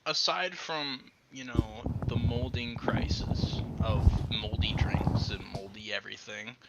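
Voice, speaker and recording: A man's voice: medium pitch, measured pace, highs cut off, heavy background noise. A young man as an interview guest in a casual chat, joking and laughing often, talking close to a handheld mic. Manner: giggly, joking, lively. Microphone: handheld mic held close.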